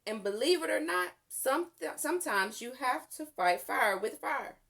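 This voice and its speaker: A woman's voice: high voice, animated, a little slow, vocal fry, a bit bright, voice pushed up, heavily acted. A woman voicing a male fire chief in a storybook, instructive, emphatic and encouraging. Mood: firm.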